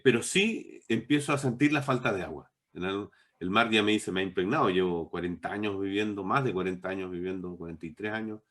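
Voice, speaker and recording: Low-voiced middle-aged man, reflecting. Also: a bit bright. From born in Bolivia, moved to Chile as a child. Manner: discursive, halting, with repeated words. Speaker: interviewed novelist. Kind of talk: reflective interview conversation.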